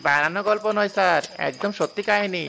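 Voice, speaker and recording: A man's voice: sits high, fast talker, vocal fry, sharp tone, slight background noise, heavily acted. A man voicing a deferential, superstitious village bullock-cart driver in a cartoon, respectful and cautioning in a rustic way. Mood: respectful, ominous.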